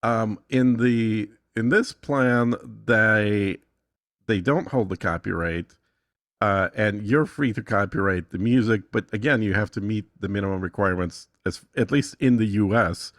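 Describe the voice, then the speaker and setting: A man's voice: lowish voice, measured pace, no echo, clean studio sound. A man explaining things casually and with assurance, as a guest in a conversation. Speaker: podcast guest demonstrating software.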